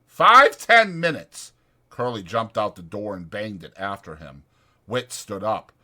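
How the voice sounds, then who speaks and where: A man reads a novel aloud as an audiobook narrator. His voice is bright and crisp in attack, delivering scripted dramatic prose in a storytelling style. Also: lowish voice, normal speed.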